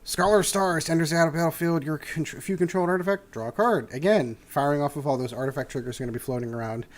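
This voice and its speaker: A man's voice: on the high side, fast. An older man hosting a casual card game review, nasal and congested from a cold, rambling through his points with frequent "uh"s and restarts. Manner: rambling with many "uh" fillers and restarts. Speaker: host.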